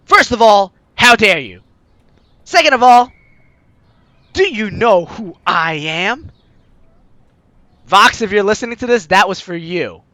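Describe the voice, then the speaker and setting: A playful, slangy gaming host reading a visual novel aloud and reacting in an animated way. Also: mid-range voice, measured pace, pauses often, no room sound. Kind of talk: lively let's play, reading aloud and reacting. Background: game music.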